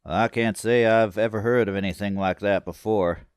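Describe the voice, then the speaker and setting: An actor playing a concerned priest in a horror audio drama, measured and sympathetic as he gently questions. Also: fairly low pitch, steady tone, very noisy, lightly acted. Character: gently probing, concerned priest hearing a confession.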